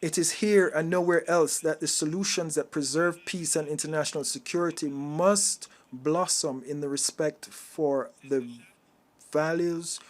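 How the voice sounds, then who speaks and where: Higher-pitched man interpreting a formal speech. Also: fairly even intonation, unhurried. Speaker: simultaneous interpreter.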